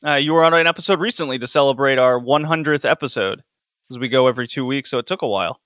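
A man hosting a casual podcast chat, rambling quickly and laughing often. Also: medium pitch, a bit bright, dry recording, clean studio sound. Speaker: podcast host.

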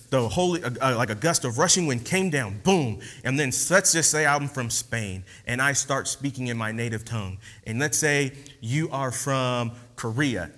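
A man giving a casual, slangy sermon after an opening prayer, talking with many fillers. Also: medium pitch. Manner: relaxed, slangy, animated teaching with many fillers. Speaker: preacher. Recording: long hall reverb.

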